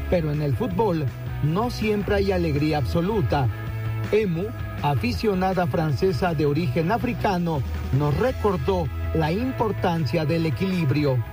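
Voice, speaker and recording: Man reporter with a high voice. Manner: steady, formal report narration. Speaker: news reporter. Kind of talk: scripted news voice-over.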